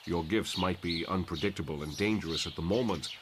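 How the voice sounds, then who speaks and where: A man playing a calm, authoritative headmaster, speaking formally and reassuringly in a comic drama. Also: low-pitched, a little fast, close to an everyday voice. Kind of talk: motion comic voice acting. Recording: loud noise behind the voice.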